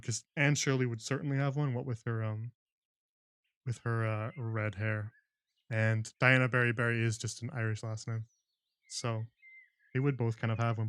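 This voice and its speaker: A man's voice: medium pitch, dry recording. A man hosting a livestream book club, chatting with viewers and laughing often before reading a novel aloud.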